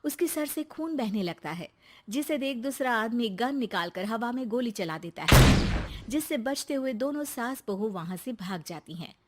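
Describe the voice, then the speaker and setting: A woman narrating a cartoon story in an even, storytelling manner. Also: a little high, moderate pace, heavy background noise.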